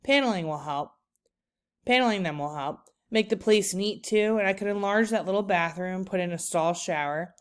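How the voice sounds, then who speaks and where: A kindly, handy old grandfather from a storybook as a woman reads him aloud. The voice is clear and smooth, and he talks in a folksy, easygoing way, gently coaxing with steady optimism. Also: mid-range voice, normal speed, natural voice. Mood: persuasive.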